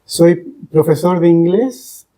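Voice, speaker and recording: Podcast guest, male. Relaxed, mellow tone, unhurried and measured, pausing between short phrases. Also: fairly high pitch. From Chile. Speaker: guest, English teacher. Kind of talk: reflective podcast conversation.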